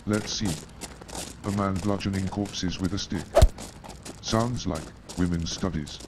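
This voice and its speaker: Man acting war veteran doctor, low voice, formal, wry, weary, radio play, noisy clicky recording. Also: measured pace. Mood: sardonic. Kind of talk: staged radio play dialogue.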